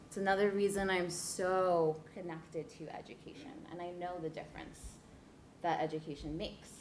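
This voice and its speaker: A woman in her thirties from New Jersey, telling her life story to a leadership workshop in an earnest way, with pauses and fillers. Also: on the low side, level tone, slight background noise. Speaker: guest speaker. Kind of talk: personal storytelling talk. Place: event room.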